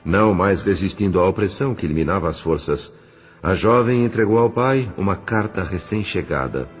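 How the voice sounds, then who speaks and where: A man with a Brazilian accent narrating a scripted audio novel in a measured, solemn way. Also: sits low, lively intonation. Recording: muffled, with music underneath.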